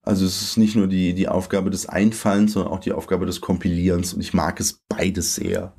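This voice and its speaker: A man's voice: low-pitched, flat delivery, brisk, gravelly, a little mellow, slight background noise. A man in his late twenties from Germany, a poet talking reflectively in an interview and asking himself rhetorical questions. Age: late 20s. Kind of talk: reflective conversation.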